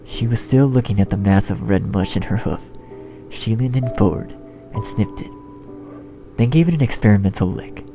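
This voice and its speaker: A man's voice: on the low side, normal speed, dull audio. A man reading a horror story as narrator in a scripted style. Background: background music.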